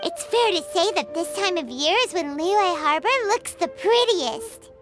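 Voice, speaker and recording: A woman voicing a tiny, chirpy and eager fairy companion in a game, with an excitable way of talking. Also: very high voice, measured pace. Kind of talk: game dialogue. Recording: game audio with loud music.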